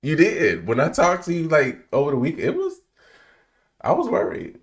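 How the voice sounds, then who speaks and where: A man's voice: on the high side, wildly swooping pitch, normal speed. A man co-hosting a podcast in casual chat, easygoing and agreeable, getting over a head cold. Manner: easygoing, agreeable, fills with um and you know. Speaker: podcast co-host.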